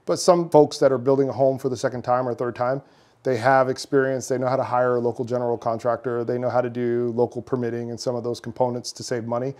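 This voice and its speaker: Man in his 30s, mellow voice, earnest explainer, um-filled answers, office room. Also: mid-range voice, little pitch movement, fast. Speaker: company founder and builder. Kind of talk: interview answers. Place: office meeting room with high ceiling.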